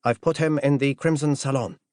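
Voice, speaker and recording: A man's voice: medium pitch, fairly even intonation, fairly quick, darkish tone, dry recording, studio quality, pitched above the performer's own voice, natural voice. A man voicing a small, polite and guarded male butler with formal, deferential manners, in an audiobook story.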